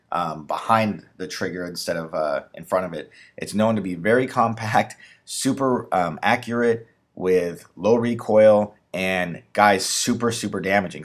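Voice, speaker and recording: Gaming YouTuber, man in his 30s, low voice gone a bit raspy, eager rambling delivery. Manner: enthusiastic, rambling with fillers. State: losing his voice, slightly raspy. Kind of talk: casual gun breakdown commentary.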